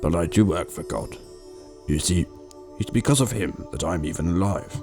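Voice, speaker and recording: A man plays a kindly old countryman with a very deep voice. He is patient and devout, explaining things gently and thoughtfully. The radio drama scene has music clearly playing behind him. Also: normal speed. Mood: earnest, patient. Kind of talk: amateur radio drama acting. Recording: music under the voice.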